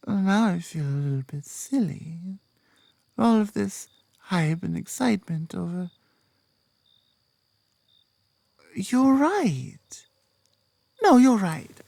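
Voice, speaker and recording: A woman plays a tender British werewolf girlfriend in an ASMR roleplay. She talks slowly and on the low side, right up close in a very dry space. Her delivery is gentle, earnest and halting, often slipping toward a whisper. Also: creaky, no echo, full character voice. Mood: sheepish. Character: affectionate, grateful young werewolf girlfriend, softly doting. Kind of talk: ASMR roleplay, intimate soft speech with whispers. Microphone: close microphone.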